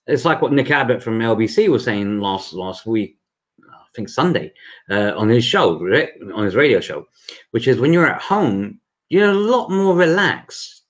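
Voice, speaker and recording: A man hosting a casual livestream interview, talking loosely and repeating words as he thinks. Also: mid-range voice. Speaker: host.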